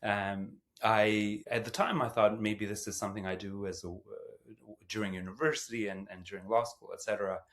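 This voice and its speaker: A man's voice: lowish voice, steady tone, normal speed, a bit bright. A middle-aged man from Albania, speaking as an interview guest and telling his story conversationally. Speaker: podcast guest, company founder and former lawyer.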